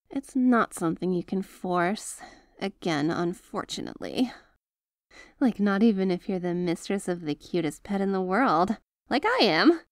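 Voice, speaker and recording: Slow, doting yandere heiress acted by a woman. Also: medium pitch, darkish tone, dry recording, studio quality. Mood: tender, earnest. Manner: hesitant, coaxing, affectionate, halting phrasing. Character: doting, bashful yandere mafia heiress, possessive young woman. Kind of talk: intimate ASMR roleplay, addressing the listener.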